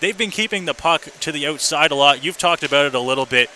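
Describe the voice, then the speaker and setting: A man's voice: a little high, fast, bright, forceful tone, a little hiss or hum. A man working as a hockey play-by-play announcer, giving radio commentary on a game from an indoor arena. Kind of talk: radio sports commentary during intermission.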